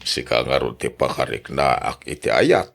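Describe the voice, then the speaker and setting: A man's voice: extremely low pitch, expressive, normal speed, creaky, a bit bright, heavily acted. A man playing a lovesick old suitor in a radio drama, stammering and persistently pleading, with an Ilocano accent.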